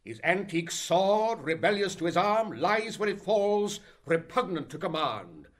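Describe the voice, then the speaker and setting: A man playing a travelling stage actor, reciting a tragic war speech in Shakespearean verse with solemn fervour and dramatic, measured declamation. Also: high voice, very animated. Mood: grave narration.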